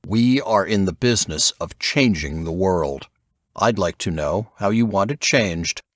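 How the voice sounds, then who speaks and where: Male reader as aging spy mentor for an audiobook: low, stern, deliberate, commanding, always probing. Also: lively intonation, dry recording, studio quality. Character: stern, probing old male intelligence veteran. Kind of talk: audiobook character dialogue.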